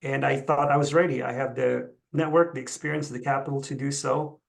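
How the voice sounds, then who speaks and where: A man's voice: medium pitch, fairly even intonation, fairly quick, gravelly. A middle-aged man from the Philippines, a Tagalog speaker, talking conversationally as an interview guest. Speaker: interview guest, chief executive. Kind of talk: conversational personal account.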